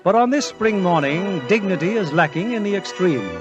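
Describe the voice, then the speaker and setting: A male narrator sets the scene in a 1940s radio play. His voice is high for a man. Music plays clearly behind the scripted narration. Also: expressive, normal speed, highs cut off.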